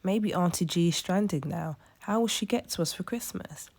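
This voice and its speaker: A woman voicing an earnest, excitable young boy in a storybook, with an exclamatory manner. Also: lowish voice, normal speed, vocal fry, pitched above the performer's own voice. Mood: anxious.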